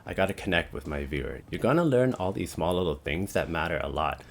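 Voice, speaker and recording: A young man talking casually to the camera about starting a channel, with a deep, monotone voice, rambling with lots of "like" and "you know". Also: quick pace, vocal fry. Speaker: YouTube creator. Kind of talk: casual talking-head vlog.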